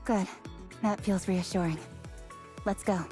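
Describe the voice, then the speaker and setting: A woman playing a composed, gently teasing team member in a video game. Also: mid-range voice, steady tone, slow pace, faint music behind, natural voice. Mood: calm. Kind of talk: video game cutscene acting.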